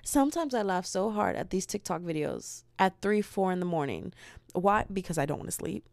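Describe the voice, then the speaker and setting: Chatty American woman with a gravelly voice. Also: on the low side, lively intonation, moderate pace, very noisy. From United States. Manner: rambling, self-deprecating, giggly. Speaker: podcast host. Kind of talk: solo podcast chat.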